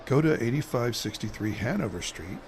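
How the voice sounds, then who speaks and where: A man's voice: medium pitch, lively intonation, a little fast, a little mellow, a little hiss or hum, natural voice. A man playing a restless shelf stocker who stammers and hesitates. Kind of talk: amateur radio drama acting.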